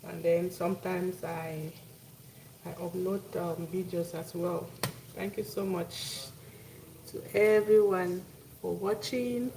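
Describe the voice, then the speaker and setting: Woman, Cameroonian accent, mid-pitched voice; casual live cooking host who repeats herself as she talks to viewers, clear background noise. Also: distant mic. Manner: repeats phrases, thanks and greets viewers by name.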